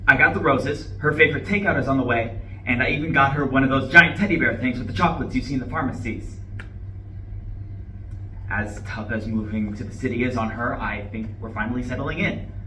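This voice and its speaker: A man's voice: mid-range voice, sharp tone, close to an everyday voice. A man playing an eager, anxious young husband who wants to please his wife, in a live play reading. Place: live reading in a university room. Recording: roomy, distant mic with faint noise.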